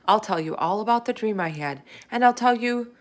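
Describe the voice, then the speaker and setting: A woman's voice: mid-range voice, expressive, brisk, voice pushed up, natural voice. A woman voicing an eager, talkative young schoolgirl in a children's storybook. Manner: chatty, eager. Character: eager, talkative young schoolgirl who adores a pet hamster.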